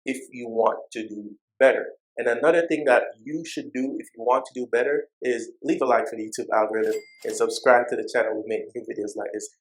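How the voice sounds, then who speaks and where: A man's voice: mid-range voice, fairly even intonation, fairly quick, few pauses, poor audio. A man from Jamaica with a Jamaican accent, a personal finance YouTuber talking straight to his viewers in a direct, exhorting way and repeating phrases for emphasis. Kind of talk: motivational talk to camera.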